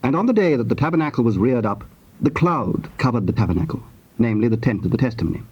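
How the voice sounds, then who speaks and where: A man narrating dramatized scripture in a formal, measured manner. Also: mid-range voice, big pitch swings, a little mellow, breathy edge. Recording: dull, noisy cassette tape.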